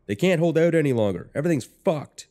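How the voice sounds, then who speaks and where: A man voicing a fierce female soldier under fire in an audio short story, shouting urgently. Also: a little high, animated, fast, voice pushed up, lightly acted. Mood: urgent, desperate.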